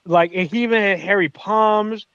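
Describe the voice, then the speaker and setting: A man's voice: high voice, moderate pace, fairly bright tone, clean tone, no room sound, dull audio. A man co-hosting a podcast, talking in an enthusiastic, casual way and swearing freely. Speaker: podcast co-host. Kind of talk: casual chat.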